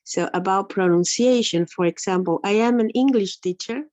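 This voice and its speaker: A woman's voice: medium pitch, moderate pace. A woman with an Ecuadorian accent, a native Spanish speaker who teaches English, thoughtfully sharing a view in a webinar Q&A over a video call. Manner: thoughtful, self-correcting, gently explanatory. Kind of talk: spontaneous comment in a Q&A. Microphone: computer mic on a video call, camera off. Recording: muffled lo-fi call audio.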